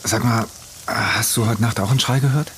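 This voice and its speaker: A man's voice: medium pitch, steady tone, normal speed, a bit bright, a little hiss or hum, natural voice. An actor as an uneasy, hesitant man. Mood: polite, hesitant. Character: uneasy man on a weekend trip with friends. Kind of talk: naturalistic audio drama acting.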